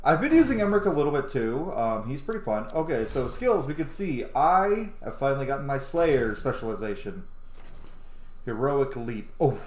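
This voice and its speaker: A man's voice: mid-range voice, sing-song, normal speed, a little mellow, echoey room. A man giving casual, informal commentary on a video game playthrough, thinking aloud as he goes. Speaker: gaming video host. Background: game music.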